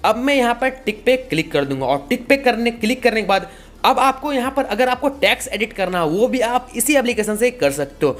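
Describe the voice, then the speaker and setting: A man's voice: very high voice, big pitch swings, fairly quick, a bit bright. A man, giving a casual, repetitive step-by-step tutorial. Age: 20s. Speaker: tutorial host. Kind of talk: casual video-editing tutorial.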